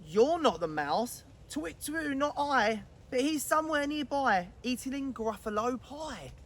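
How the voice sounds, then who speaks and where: A woman's voice: high voice, animated, measured pace. Woman doing a hooting storybook owl. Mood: teasing.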